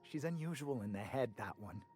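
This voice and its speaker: A gossipy space-station trader from a video game. He is cynical and opinionated, with a folksy manner and a middling male pitch. Faint music plays behind him. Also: lively intonation, a little fast, darkish tone, breathy edge. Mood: wry, confiding.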